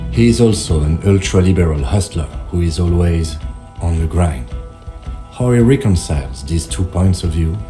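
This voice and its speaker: An actor voices a precise male police lieutenant for a video game. His low voice is formal and composed, with dry, measured phrasing, and music plays clearly behind him. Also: lightly acted.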